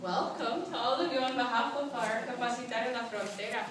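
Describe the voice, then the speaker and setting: A woman opens a conference workshop, warm and full of fillers, her voice a little high. She is picked up from across an echoey room with steady background noise. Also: fairly quick. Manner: warm, filler-heavy, repetitive phrasing. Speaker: workshop presenter. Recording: echoey room, distant, noisy.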